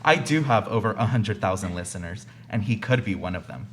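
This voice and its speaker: A man's voice: on the low side, lively intonation, fairly quick, a bit bright, a little hiss or hum. A young man acting as a self-important, aggrieved podcaster in a comedy radio play. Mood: smug. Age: young adult. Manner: pompous, aggrieved, self-promoting. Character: self-important, whiny male podcaster milking his victimhood.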